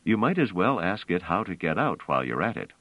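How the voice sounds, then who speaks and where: A man's voice: sits low, very animated, fairly quick, no echo, dull audio, heavily acted. A man reading aloud, voicing a blunt, matter-of-fact man close to his own voice.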